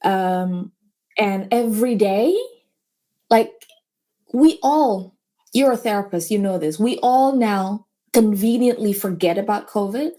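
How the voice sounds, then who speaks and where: A woman originally from Indonesia speaks as a guest in a relaxed conversation, earnest and motivational. Her voice is mellow and dark at a middling pitch, and her English shows small grammar slips. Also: a little slow. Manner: earnest, motivational, slightly non-native grammar. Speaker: business owner, guest. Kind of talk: casual interview chat.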